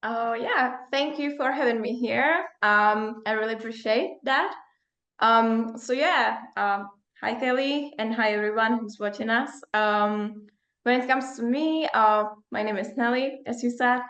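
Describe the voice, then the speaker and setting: A woman's voice: fairly high pitch, measured pace, fairly bright tone, roomy sound, dull audio. A young woman from the Czech Republic with a Czech accent, talking casually and discursively as a coach being interviewed. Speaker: performance coach and psychologist.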